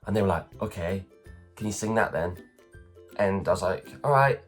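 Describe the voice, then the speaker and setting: A man in his thirties from England, telling self-deprecating stories in a casual morning vlog, his voice low and worn after a late night. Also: lively intonation, normal speed, faint music behind. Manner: chatty, self-deprecating, acts out others' lines. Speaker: vlogger, performer. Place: at home.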